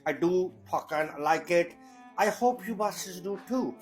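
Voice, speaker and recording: Yorkshire-accented man, high voice, foul-mouthed and blunt, cheeky cooking host, light music underneath. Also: lively intonation, unhurried. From Yorkshire, England. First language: Indian heritage. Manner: crude, swearing, jokey and blunt. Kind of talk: sweary comic recipe demo. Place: home kitchen.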